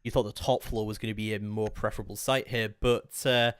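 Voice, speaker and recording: A man's voice: lowish voice, little pitch movement, a little fast, a bit bright, smooth, no room sound. A man giving live play-by-play esports commentary in a flowing, detailed running description.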